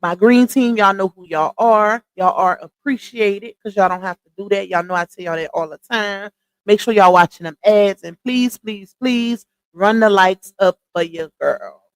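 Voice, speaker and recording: A woman hosting a casual livestream, chatting with viewers, greeting them by name and calling them y'all, her voice starting to go hoarse. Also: medium pitch, moderate pace, no echo. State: voice going hoarse. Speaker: livestream host.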